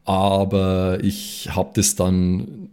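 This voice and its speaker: A man's voice: sits low, flat delivery, extremely slow, a little mellow, smooth. A man chatting casually as a podcast regular. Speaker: podcast regular, role-player. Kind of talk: casual chat.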